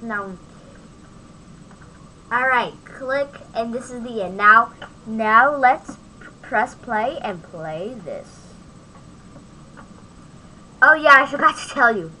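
A woman giving a casual, off-the-cuff software tutorial, thinking aloud as she demonstrates on a home microphone recording. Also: on the high side, expressive, takes it slowly, pauses often, slight background noise, rough recording. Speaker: tutorial host.

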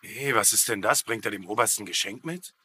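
Blunt sitcom prisoner: lowish, bright but husky voice, dry delivery. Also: male voice, brisk, full character voice. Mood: curious. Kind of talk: dubbed military sitcom acting.

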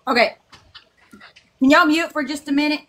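A woman's voice: sits high, normal speed, pushed and bright. A woman on a video call from her living room, talking bluntly and emphatically, pressing her point with repeated checks. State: off work, in pajamas. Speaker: livestream guest. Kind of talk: casual livestream chat. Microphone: video call webcam.

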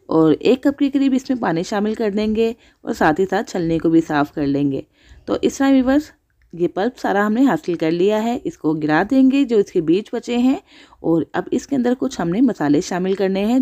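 A woman's voice: mid-range voice, dark tone, highs cut off. A woman hosting a cooking channel, narrating a recipe step by step as a voice-over.

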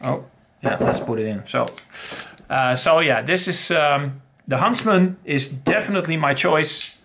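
A man's voice: a little high, fairly bright tone. A middle-aged man casually reviewing pocket knives on his own channel, talking in a loose, rambling way with many fillers and restarts, recorded at home on a desk webcam. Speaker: channel host. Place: home study with bookshelves.

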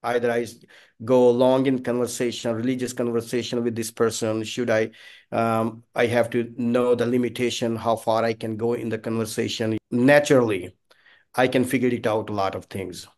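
A middle-aged man from Pakistan, a native Punjabi speaker with a Pakistani accent, chatting as an interview guest in halting, non-native phrasing. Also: medium pitch, level tone, darkish tone. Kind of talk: casual conversational interview. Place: small indoor office, on a video call. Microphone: video call.

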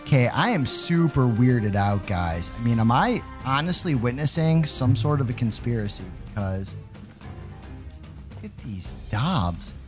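A man hosting a gaming video, giving excited, exclamatory gameplay commentary with playful mock alarm as he addresses his viewers. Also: mid-range voice, a little slow, over music.

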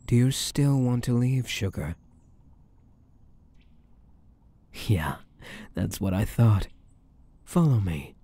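A man's voice: fairly low pitch, mellow, husky, no echo, heavily acted. A man playing a smug, possessive, flirtatious mafia boss in an intimate ASMR roleplay, with a teasing, drawling, menacingly sweet manner. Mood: menacing, mocking.

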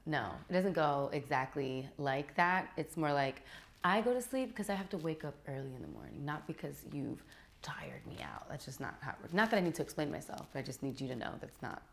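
A woman's voice: low-pitched, sing-song, brisk, gravelly, slight background noise, exaggerated voice. An American woman in her twenties playing a flustered date who stammers evasive excuses in a comedy sketch. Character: flustered young woman caught between two dates. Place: furnished indoor room, filmed sketch.

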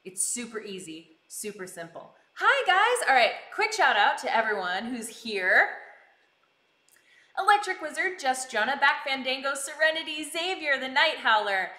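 Bright, animated woman streamer, high voice. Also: normal speed. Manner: bubbly, giggly, exclaiming greetings. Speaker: live streamer hosting a cooking stream. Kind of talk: casual chat with live viewers. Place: indoors in a kitchen. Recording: roomy, echoing indoor sound.

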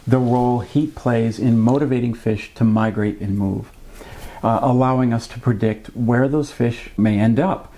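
A man in his fifties hosting a fishing vlog, explaining things to camera in a conversational, measured way with wry asides. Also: medium pitch, fairly even intonation, dark tone, low-quality recording. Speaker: fishing channel host. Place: small indoor room at a desk with a computer.

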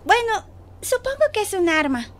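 A woman's voice: very high-pitched, sing-song, normal speed, a little hiss or hum. A woman voicing a frantic young cartoon cat in a fan dub, with a Latin American Spanish accent. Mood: worried. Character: frantic, high-voiced young cartoon cat in a getaway car. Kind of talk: animated fan dub acting. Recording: music behind the voice.

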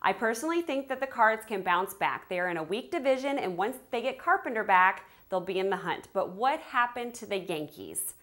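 A woman's voice: medium pitch, lively intonation, brisk, few pauses, fairly bright tone, no room sound. A woman in her thirties hosting TV segments and interviews, chatty and playful in a bubbly way. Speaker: TV host. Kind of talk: upbeat hosting and promo presenting.

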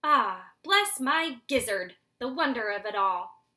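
A woman's voice: fairly high pitch, expressive, slow, sharp tone, heavily acted. A woman voicing a hearty, doting father barn owl in a storybook read-aloud. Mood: delighted.

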